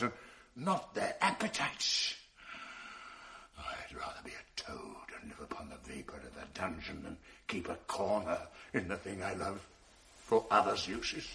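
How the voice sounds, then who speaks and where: An actor playing a proud, tormented general in a classical verse radio drama, speaking slowly and weightily with flares of anguish. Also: male voice, lowish voice, vocal fry, a bit bright, a little hiss or hum, exaggerated voice. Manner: brooding, slow and weighty, flaring into anguish.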